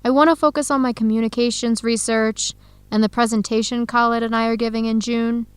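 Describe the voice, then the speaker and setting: Actress as earnest heartbroken young american woman, radio drama, highish voice, dry clean sound. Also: flat delivery, brisk, smooth, no echo, close to an everyday voice. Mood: downcast. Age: young adult. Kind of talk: scripted radio drama dialogue.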